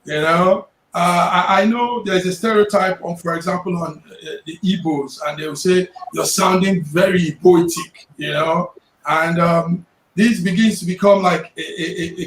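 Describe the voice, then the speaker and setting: A man's voice: high-pitched, fairly even intonation, moderate pace. A man from Nigeria hosting a panel discussion, speaking hesitantly and often repeating words. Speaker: panel host. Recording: roomy studio with faint noise.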